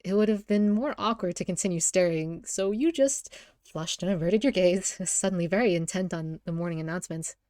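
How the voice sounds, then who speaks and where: A woman with a slightly low voice narrates a visual novel in a dry, close recording. She reads informally, prone to giggles, and often trips on a phrase and repeats it. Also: a little fast, few pauses, mellow, no room sound. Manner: giggly, often stumbles and rereads lines.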